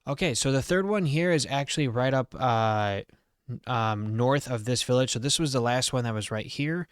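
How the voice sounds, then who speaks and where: A man's voice: mid-range voice, normal speed, smooth, no room sound, clean studio sound. A man giving a casual game walkthrough, explaining things informally as the host of a guide.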